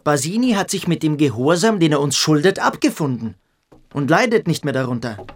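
A stern schoolboy in a radio drama. His voice sits on the high side for a male, and he speaks sharply and insistently, like someone used to giving orders. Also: very animated, a little fast. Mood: cold, domineering. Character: stern, haughty young male boarding-school pupil.

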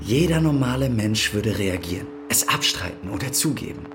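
Man playing a hard-nosed interrogator, swinging pitch. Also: animated, moderate pace, over music, close to an everyday voice. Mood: sneering, accusatory. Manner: confrontational, sneering, insistent. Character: hard-nosed, accusing male police interrogator. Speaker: actor. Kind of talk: radio crime drama acting.

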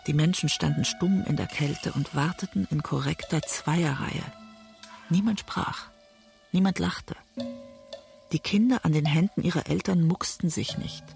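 An actress playing a West German woman writer who narrates an obsessive love story in a measured, introspective way, as in a literary audio drama. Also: low voice, gravelly, a little mellow, soft background music. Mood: bleak, observant.

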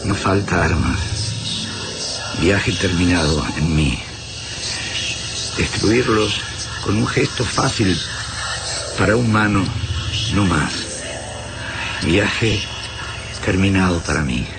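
An actor playing a dying, gentle alien in a radio drama, weak and solemn, speaking in halting, oddly ordered phrases. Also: male voice, low-pitched, expressive, exaggerated voice. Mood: solemn wonder. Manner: broken, oddly ordered foreign-sounding syntax, solemn halting delivery. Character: dying gentle male alien, noble, serene, awed by beauty. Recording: voice under loud music.

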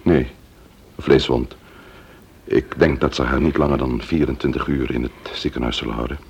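An actor playing a sober, probing investigator in a Dutch radio play, with a measured, formal way of questioning. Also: male voice, extremely low pitch, steady tone, a little hiss or hum. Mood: sober, apologetic. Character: sober, probing male investigator, breaking grim news.

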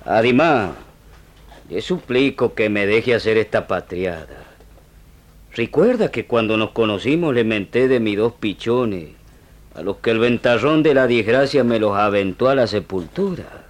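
Old wounded rural Argentine gaucho soldier, rustic and emotional, lively pitch, drawn-out pacing, for radio drama. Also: male voice. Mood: pleading, defiant. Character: old rustic wounded gaucho frontier sergeant, stubborn, grieving.

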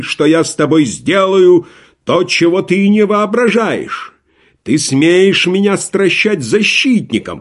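A man's voice: on the high side, pitched above the performer's own voice, heavily acted. A man reading aloud, voicing a stern, domineering old nobleman who threatens his daughter. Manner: stern, threatening, slow and forceful.

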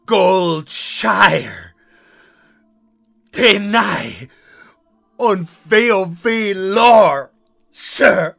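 A man playing a meek, bumbling rookie wizard, stammering and submissive with a strained raspy voice in a parody radio play. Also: high voice, animated, drawn-out words, pauses often, fairly bright tone, soft background music, full character voice. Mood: cowed, obedient.

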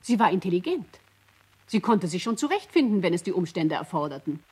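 A woman's voice: lowish voice, very animated, a little fast, darkish tone, slightly rough. A woman in a radio play, playing an assured witness vouching for someone. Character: assured woman vouching for a girl she knows.

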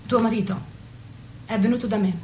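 A woman's voice: a little high, fairly even intonation, a little fast, noisy background, close to an everyday voice. A woman acting a worldly, loyal confidante in an intimate stage-style drama, blunt, protective and indignant. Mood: reassuring, indignant. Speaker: stage actress. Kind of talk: intimate theatrical radio drama.

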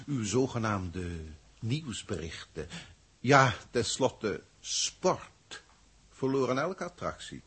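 Pompous husky alien commander. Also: male voice, medium pitch, big pitch swings, a bit bright, exaggerated voice. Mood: lofty, explanatory. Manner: formal, pompous, deliberate. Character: lofty alien commander of a galactic starship, male. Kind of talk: 1960s Dutch science fiction radio play acting.

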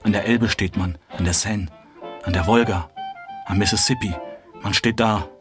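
An actor as a low-voiced, bitterly weary homeless soldier. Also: male voice, steady tone, moderate pace, lightly acted. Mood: bitter, bleak. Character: homeless war returnee, hungry, freezing, bitterly weary man. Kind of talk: German stage-style radio drama acting. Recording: music behind the voice.

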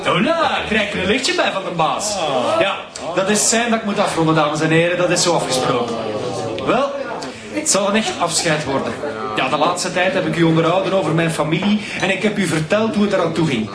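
An actor with a Flemish accent playing a bitter, volatile stand-up comedian with a taunting, theatrical delivery in a radio drama. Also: male voice, sits high, lively intonation, fairly quick, over a noisy crowd. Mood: sardonic, confessional. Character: bitter, volatile comedian and confessed killer, brother. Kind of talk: radio drama acting, stage monologue. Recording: roomy club hall, loud audience noise.

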